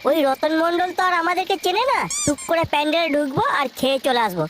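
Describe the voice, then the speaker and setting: A woman's voice: high voice, quick pace, background noise, natural voice. A woman voicing a scheming young village guy in a comedy cartoon, with slangy, whining, argumentative delivery. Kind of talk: exaggerated comedy cartoon dialogue. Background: music.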